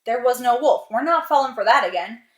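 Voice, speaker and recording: A woman's voice: a little high, animated, fairly quick, fairly bright tone. A woman speaking as fed-up, dismissive villagers in a children's fable, in an emphatic, matter-of-fact way. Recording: roomy, echoey sound.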